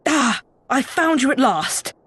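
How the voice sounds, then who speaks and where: A woman's voice: sits high, lively intonation, slow, fairly bright tone, slightly rough, heavily acted. A woman voicing a courteous, dutiful female messenger with formal speech in a game cutscene. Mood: relieved, dutiful. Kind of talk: video game cutscene acting.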